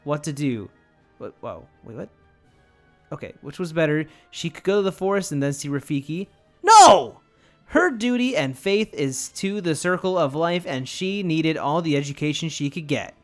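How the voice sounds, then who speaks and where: A man's voice: a little high, sing-song, moderate pace, faint music behind. A man mockingly reading fan fiction aloud, with sarcastic, sweary casual asides. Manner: sarcastic, profane.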